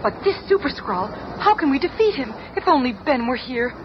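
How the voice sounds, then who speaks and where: A woman's voice: high-pitched, sing-song, brisk. An actress playing an anxious, fretful female superhero in an old-time radio drama. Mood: alarmed. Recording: dull noisy old radio recording.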